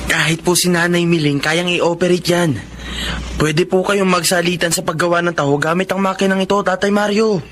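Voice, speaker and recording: Kind filipino dad, fairly high man's voice, reasonable, radio drama, slight noise. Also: fairly even intonation, normal speed, fairly bright tone. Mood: respectful. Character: kind, reasonable middle-class husband and father.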